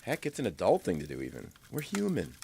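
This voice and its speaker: A man's voice: on the low side, wildly swooping pitch, quick pace, vocal fry, dark tone, slight background noise, exaggerated voice. A man playing a reassuring father who chats about old customs in an audio drama. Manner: reassuring, chatty.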